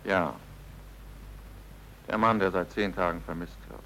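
A man's voice: deep voice, fairly even intonation, measured pace, sharp tone, slight background noise, rough recording. A male actor playing a stern, insistent military police interrogator in a German drama, clipped and commanding. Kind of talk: German radio drama or film-dub acting.

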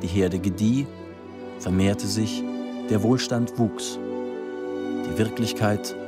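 Loud music runs beneath a low-pitched man narrating a literary radio drama. He is solemn and formal, telling the tale in measured sentences. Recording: prominent music under the voice.

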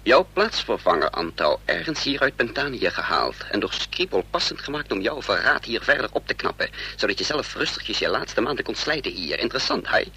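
A man's voice: mid-range voice, big pitch swings, a little fast, few pauses, sharp tone, husky, heavily acted. An actor playing a resolute, bitter man on the run in a radio drama, with intense, clipped, dramatic delivery. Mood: bitter, confrontational. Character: hunted male amnesiac double, resolute, driven, bitter. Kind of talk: radio drama acting with first-person narration.

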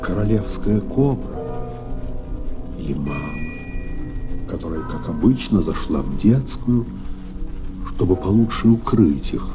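A man's voice: sits low, expressive, slow, pauses often. A male actor speaking poetic lines in a children's audio play. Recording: old flexi-disc record, music behind.

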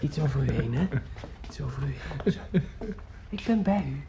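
Casting: male actor for a caring, sometimes gruff man in a radio play, mid-pitched, clipped plain phrases, slightly noisy recording. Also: lively intonation, moderate pace, close to an everyday voice. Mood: gently firm. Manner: short, plain, repeated phrases. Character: caring man, gruff when hurried, soothing a drunk old man. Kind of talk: radio drama acting.

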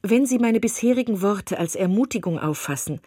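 A woman's voice: medium pitch, expressive, fairly quick, mellow, slightly rough, no echo, studio quality. A woman voicing a spirited young woman who firmly and precisely refuses a suitor, in an audiobook. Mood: exasperated. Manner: firm, exasperated, precise. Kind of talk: audiobook reading of a classic novel.

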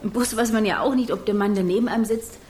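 An actress playing a chatty, pragmatic female nurse in a radio play, talking candidly and a little haltingly. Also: medium pitch, fairly even intonation, a little mellow, slight background noise, close to an everyday voice. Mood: candid, matter-of-fact.

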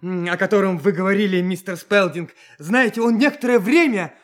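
An actor playing a young fiancé in a radio play, deferential, polite and eager to please. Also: male voice, sits high, brisk, a bit bright. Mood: excited. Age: young adult.

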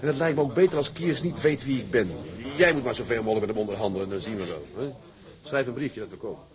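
A man's voice: medium pitch, fast talker, crowd noise, lightly acted. An actor playing a decisive, businesslike Dutch seaman who leads a group, in audio drama dialogue. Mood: reluctant, practical. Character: decisive male Dutch seaman leading a shipping venture. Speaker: leader of a group of seamen.

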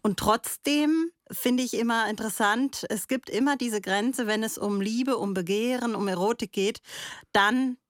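A woman's voice: a little high, measured pace, dry recording, clean studio sound. A woman hosting a radio talk in a conversational style, articulate and often questioning. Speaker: radio host.

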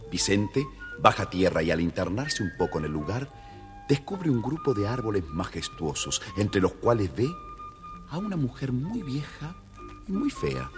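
A man narrating a scripted children's tale as a theatrical, expressive storyteller. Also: low-pitched.

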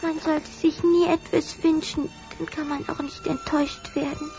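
A woman's voice: sits high, little pitch movement, moderate pace, gravelly, over music, low-quality recording. A woman playing an earnest, tender little vampire girl in a children's radio play. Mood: wistful, tender.

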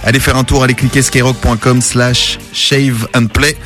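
A man hosting a radio show in a studio, brisk and chatty, moving between the guest, sponsor plugs and callers. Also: medium pitch, flat delivery, fairly bright tone. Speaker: radio host. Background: music bed.

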